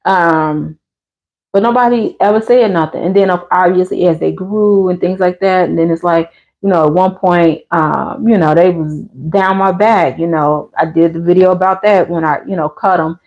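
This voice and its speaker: A woman's voice: on the low side, normal speed. An American woman in her fifties chatting casually and candidly with viewers as a livestream host, in colloquial speech. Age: 50s. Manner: candid, conversational, colloquial, animated retelling with acted-out quotes. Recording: slightly dull lo-fi livestream audio.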